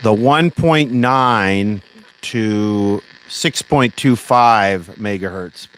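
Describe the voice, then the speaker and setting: A man's voice: on the low side, drawn-out words. A man talking casually and hesitantly as he hosts a live demonstration, musing aloud to himself. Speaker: host of a radio demonstration livestream. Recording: loud radio static and hum over voice. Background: noisy shortwave radio static.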